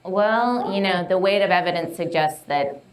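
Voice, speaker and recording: A woman's voice: lowish voice, normal speed, a little hiss or hum, rough recording. A woman speaking at a public meeting, chatty and hesitant, with many fillers and restarts. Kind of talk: casual talk with audience questions. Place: meeting room.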